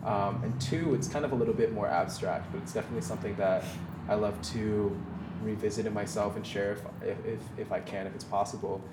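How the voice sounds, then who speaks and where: A man's voice: lowish voice, steady tone, a little fast, roomy sound, heavy background noise. A man whose first language is Mandarin, talking in a casual, reflective way as a podcast guest, rambling with many fillers and restarts.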